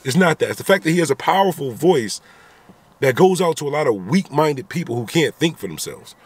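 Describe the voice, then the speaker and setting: A man's voice: fairly high pitch, animated, brisk, breathy edge. A man in his thirties talking animatedly into a phone camera inside a car, giving his opinions in a vlog with repeated rhetorical asides. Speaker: vlogger. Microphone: phone camera at arm's length.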